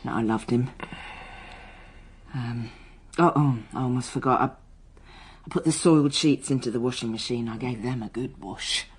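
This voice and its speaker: An actress in a radio drama playing a strained, defensive schoolteacher daughter being questioned by police, halting and bristling with emotion. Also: female voice, a bass voice. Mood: subdued. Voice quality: husky. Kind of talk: naturalistic radio drama dialogue.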